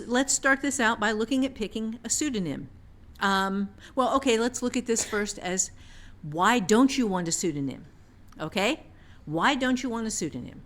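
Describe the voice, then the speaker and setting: A woman with a medium-pitched voice teaches in casual podcast talk. She is emphatic and repeats phrases to drive points home. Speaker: author and writing teacher.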